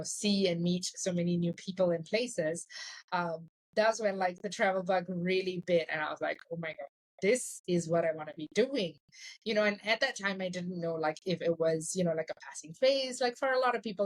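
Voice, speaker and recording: A woman's voice: fairly low pitch, no echo, rough recording. A young woman from Singapore, chatting as a podcast guest and filling her talk with "like" and "you know.". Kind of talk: casual interview chat.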